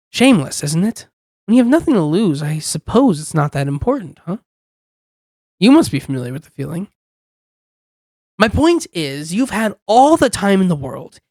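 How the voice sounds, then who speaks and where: Smug demon contract-dealer, acted by an amateur performer, mid-pitched, dry intimate ASMR delivery, teasing and in control. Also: darkish tone, dry recording. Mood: weary, wry, then daring. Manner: teasing, coaxing, unhurried with lingering pauses. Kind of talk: ASMR roleplay, intimate scripted monologue.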